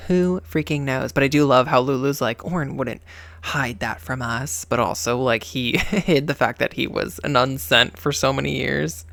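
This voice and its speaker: A reaction host talks casually about a game story, slangy and playful. The voice is creaky with a medium pitch, recorded dry. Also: normal speed, fairly bright tone, dry recording.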